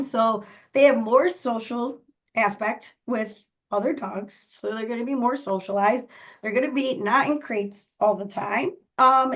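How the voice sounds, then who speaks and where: A woman co-hosting a pet podcast, hoarse from a cold, talking casually with lots of ums and throat clearing. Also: a little high. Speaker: podcast co-host, dog boarder. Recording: dull lo-fi audio.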